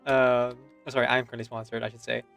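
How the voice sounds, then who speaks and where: A man's voice: medium pitch, level tone, fast talker, vocal fry, fairly bright tone, faint music behind. A man with a Filipino accent chatting casually on a stream, easygoing and chuckling, with lots of fillers.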